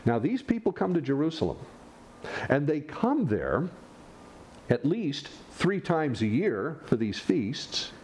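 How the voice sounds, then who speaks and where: A male pastor delivers a sermon with a slightly high voice that leaps up and down as he drives his points home. He throws rhetorical questions at his congregation. A faint hum of the room sits under him. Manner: animated preaching, rhetorical questions, sudden pitch swings.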